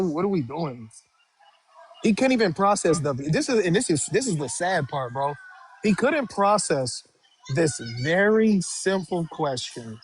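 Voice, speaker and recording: A man's voice: a little high, a little mellow, rough recording. A man hosting a livestream debate, combative and interrupting, calling the other side "dude" and "bro". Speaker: livestream host. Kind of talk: heated debate.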